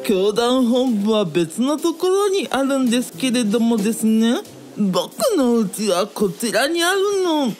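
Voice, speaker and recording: An effeminate male cult guru from a radio drama, played by a woman, coy and pleased with himself. The delivery is slow and high, swooping about in giggly, cutesy phrases over background music. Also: big pitch swings, darkish tone.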